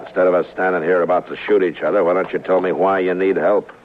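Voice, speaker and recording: An actor playing a steady, laconic, dry-humored frontier marshal in an old radio western, calmly authoritative. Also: male voice, low voice, fast, slight background noise, close to an everyday voice. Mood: calm, firm. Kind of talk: 1950s radio western acting and narration. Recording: muffled old radio recording, music behind.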